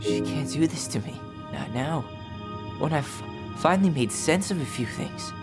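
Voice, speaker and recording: An actor playing a blunt, wounded young American man in a video game, with a low-key sardonic way of talking. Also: expressive, moderate pace, over loud music, natural voice. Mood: distressed. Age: young adult. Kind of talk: naturalistic dramatic game acting.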